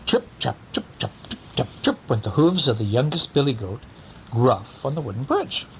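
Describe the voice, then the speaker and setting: A man's voice: expressive, moderate pace, poor audio. A man, a father, telling a bedtime story to a child in a playful, theatrical, teasing way, at home. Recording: home recording with rumble and background noise.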